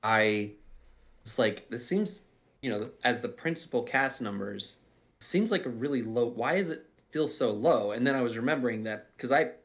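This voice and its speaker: A man's voice: mid-range voice, expressive, normal speed. A man giving casual behind-the-scenes commentary, explaining things in a reflective, self-deprecating way. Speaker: audio drama writer and voice actor.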